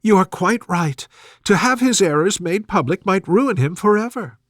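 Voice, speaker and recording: Guy doing a sweet earnest young lady from an old novel, raised pitch, lilting, forgiving. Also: lively intonation, normal speed, a bit bright, no room sound, clean studio sound. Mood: gentle concern. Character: kind, earnest young woman, gentle and forgiving.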